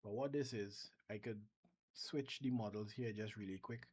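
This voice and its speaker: A Trinidadian man with a Trinidadian accent, talking casually through a software demo and thinking aloud, often restarting his sentences. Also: fairly low pitch, normal speed, breathy edge. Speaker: software developer vlogger. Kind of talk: casual screen-share demo.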